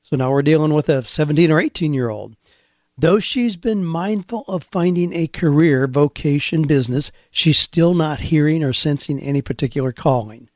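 A man with a slightly higher voice hosts a career radio show, earnest and encouraging. He talks conversationally on a close mic with a dry, unechoing sound. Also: darkish tone, no echo. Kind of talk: podcast radio monologue.

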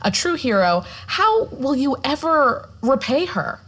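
An actress playing an excitable, effusive female morning television host with dramatic, emphatic delivery. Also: sits high, a little slow, full character voice. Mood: gushing, excited. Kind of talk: breezy television presenting.

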